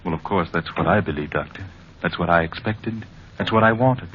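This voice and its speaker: An actor playing an earnest, troubled married executive in an old time radio drama, speaking in a measured, formal, sincere way. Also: male voice, sits low, a little hiss or hum, natural voice. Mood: troubled, hesitant. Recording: muffled old radio recording.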